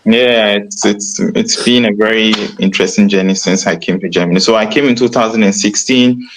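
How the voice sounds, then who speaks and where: A man, a guest in a casual interview who talks in a relaxed way and repeats words as he restarts. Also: on the low side, normal speed, low-quality recording. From Ghana.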